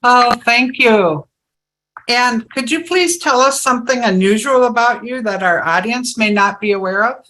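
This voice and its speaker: An interviewer poses polite, formal questions from a script. The voice is bright and crisp, and the delivery stays courteous. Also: medium pitch, normal speed, poor audio.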